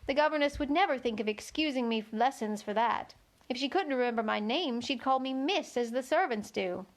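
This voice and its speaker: A polite, thoughtful young storybook girl, played by a woman. Her voice is high, and she muses earnestly as if working things out. There is a slight anxious edge to her curiosity. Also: fairly quick, close to an everyday voice. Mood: earnest, reproving. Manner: musing, earnest. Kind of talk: children's storybook read-aloud.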